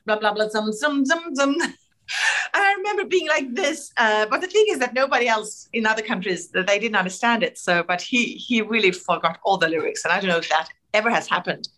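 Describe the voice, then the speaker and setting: A middle-aged Swedish woman with a Swedish accent, telling animated stories in a casual interview, laughing often and full of fillers and restarts. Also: a little high, a little fast. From Sweden. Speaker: TV host, interview guest.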